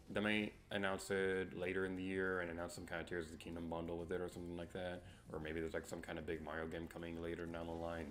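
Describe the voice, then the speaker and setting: A sleepy man with a very deep voice, streaming a game and chatting casually with many fillers. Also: a bit bright. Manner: sarcastic outbursts when losing.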